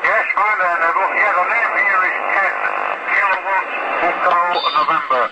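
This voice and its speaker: Guy on ham radio, loads of static and noise, halting chatty delivery, spells stuff out phonetically. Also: high-pitched. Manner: hesitant, spells names in phonetic alphabet. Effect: shortwave radio. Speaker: amateur radio operator. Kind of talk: ham radio contact chat. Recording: very noisy shortwave radio reception.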